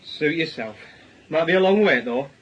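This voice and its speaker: A man's voice: a little high, expressive, moderate pace, slight background noise, natural voice. An actor playing a dry, unruffled male police officer in a radio drama. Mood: calm, firm. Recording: distant, slightly dull microphone pickup.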